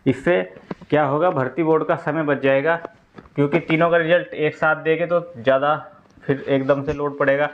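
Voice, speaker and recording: A man's voice: a little high, fairly even intonation, normal speed, highs cut off, a little hiss or hum. A man giving an informal explainer to students as a presenter, going step by step and repeating key points. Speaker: exam news presenter explaining updates to candidates.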